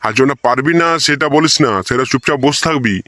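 A man's voice: mid-range voice, quick pace, a bit bright, no room sound, clean studio sound, close to an everyday voice. A man voicing a bossy, proud village schoolteacher in a comedy cartoon, insistent and repetitive, with a rural West Bengal accent. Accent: rural West Bengal (Bankura). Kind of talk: comic cartoon voice acting.

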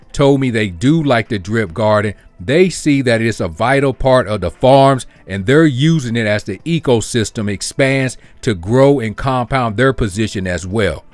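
A man hosting his own channel, explaining an investing strategy step by step over a screen recording in a steady, explanatory way. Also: medium pitch, dry recording. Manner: steady, explanatory, walks through figures step by step. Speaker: crypto channel host. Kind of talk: screen-share walkthrough narration.

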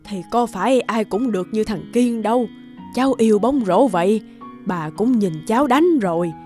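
A woman's voice: fairly high pitch, normal speed, a little mellow, soft background music, natural voice. A woman playing a caring old grandmother in a cartoon, who chides, teases and reassures. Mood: chiding, reassuring. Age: older. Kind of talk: cartoon dubbing.

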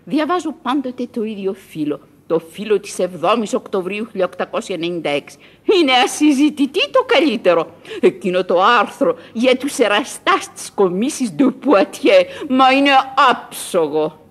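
Dotty imperious old lady in a radio play, very animated, husky, kinda declaiming. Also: mid-range voice. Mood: grand, whimsical. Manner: theatrical, declamatory, musing. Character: eccentric old Parisian madwoman, grand, whimsical, imperious. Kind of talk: Greek radio theatre acting.

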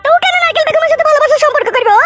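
A scolding cartoon wife with an extremely high, squeaky voice. She nags very fast, sulky and accusing, heartbroken with jealousy, with faint music behind her. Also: a bit bright. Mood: bitter scolding. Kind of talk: Bengali comedy cartoon dubbing.

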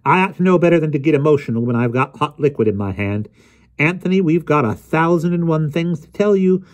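A man's voice: fairly high pitch, lively intonation, fairly quick, pitched above the performer's own voice, natural voice. A man voicing a spirited, chatty, eccentric woman librarian with a teasing, exclamatory manner in an audiobook story. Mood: grumbling, eager.

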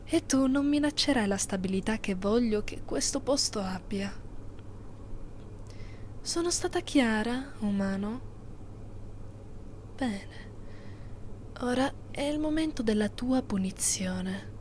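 A woman playing a haughty, possessive immortal vampire mistress in an ASMR roleplay, speaking slowly and imperiously, often close to a whisper. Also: fairly high pitch, lively intonation, a little hiss or hum. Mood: jealous, stern. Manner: slow, imperious, condescending, often near whisper.

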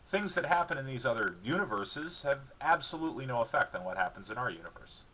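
A man's voice: medium pitch, sing-song, fast talker, sharp tone, slight background noise, poor audio. A man reading his own book dramatically, moving between narration and dialogue in a wry, explanatory way.

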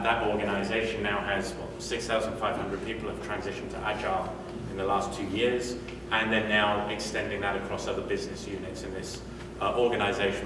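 A British man from the UK in his forties giving a conference talk in a hotel meeting room, conversational, with frequent fillers and restarts. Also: on the low side. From United Kingdom. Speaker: conference speaker. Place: hotel meeting room, indoors, medium size. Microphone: room mic from a distance. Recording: echoey room, distant mic, noisy.

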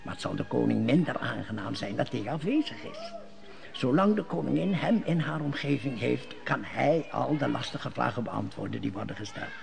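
A sly, gravelly court schemer. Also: male voice, medium pitch, big pitch swings, normal speed. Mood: scheming. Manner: sly, speaks in riddles. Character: scheming court elder, male, cunning. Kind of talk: 1950s Dutch radio drama acting. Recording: old radio play with music.

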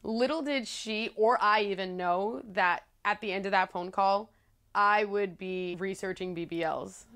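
A young woman in her twenties with a low voice, telling her story candidly to the camera in a casual vlog, chatty and playful with frequent laughs. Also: a little slow, fairly bright tone. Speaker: vlogger. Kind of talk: casual talking-head storytelling. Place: small living room with plants, indoors.

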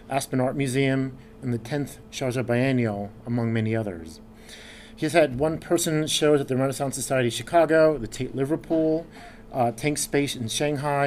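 A man's voice: medium pitch, a little mellow, a little hiss or hum. A man, the program director, reading a formal introduction of a guest speaker from notes at a podium. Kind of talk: read-out introduction. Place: dark lecture hall.

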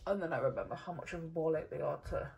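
A woman's voice: low-pitched, lively intonation, quick pace, creaky, a little mellow. A young woman, chatting casually to camera in her bedroom, rambling with lots of fillers and laughs. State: slightly croaky morning voice. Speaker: vlogger. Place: bedroom at home, indoors. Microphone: camera on a desk in front.

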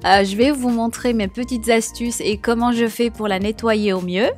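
Young woman, vlog voice-over, slightly high voice, eager and hesitant, soft music bed. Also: fairly even intonation, normal speed. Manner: chatty, enthusiastic product tips with frequent hesitations. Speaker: home cleaning vlogger. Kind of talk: voice-over narration of a cleaning video.

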